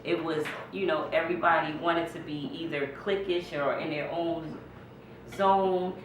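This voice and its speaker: Middle-aged American woman interviewer, conversational, very low register, off-mic in a noisy space. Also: fairly even intonation, a little slow. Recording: echoey room, distant mic, background noise.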